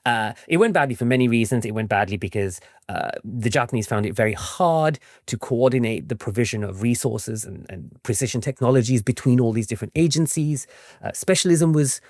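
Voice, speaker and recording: A man speaking as an expert interview guest, explaining things conversationally and informally, often checking in with a quick "right?". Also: mid-range voice, lively intonation, darkish tone, dry recording, clean studio sound. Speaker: interview guest, historian and author.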